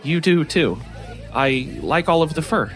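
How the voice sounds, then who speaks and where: A man's voice: fairly high pitch, animated, a little slow, a faint crowd. An actor playing a shy young man at a Halloween party who stumbles and hesitates when flustered. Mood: awkward. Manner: hesitant, flustered, filler-heavy.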